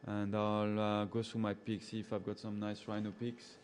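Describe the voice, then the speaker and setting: A man's voice: on the low side, moderate pace, slight background noise. A man with a French accent, a safari guide casually chatting with live viewers and reading their comments aloud. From French-speaking. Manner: relaxed and chatty, laughs often, answers viewers by name.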